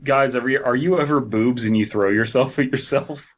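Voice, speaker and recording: A man's voice: mid-range voice, moderate pace, lo-fi sound. A man co-hosting a casual comedy podcast, riffing irreverently and crudely between bits of horror story he reads aloud. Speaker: podcast co-host.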